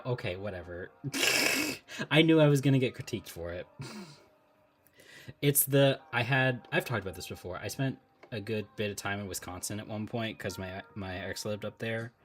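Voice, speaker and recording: A man talking casually on a live stream while he draws, thinking out loud, laughing often and chatting with viewers. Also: lowish voice.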